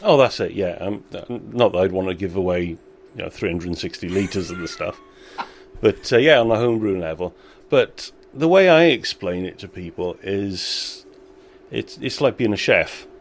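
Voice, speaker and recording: A man who owns a small brewery, chatting in an interview with a relaxed, self-deprecating manner and frequent laughter. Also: deep voice, normal speed. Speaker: brewery owner. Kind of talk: casual interview chat.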